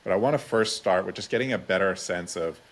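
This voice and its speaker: A man with a low voice hosts a podcast interview. He introduces and questions in a conversational style. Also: fast.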